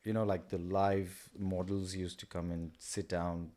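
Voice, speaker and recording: A man, a guest in a casual interview, talking in a hesitant, halting way with many pauses. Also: low voice, level tone, warm tone. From Nepal. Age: 30s. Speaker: guest, artist and kids entertainer.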